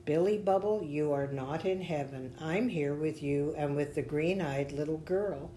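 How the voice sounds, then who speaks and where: A woman voicing God in a children's story as a calm, kindly, tender and reassuring mysterious voice. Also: low voice, measured pace, slight background noise. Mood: gentle.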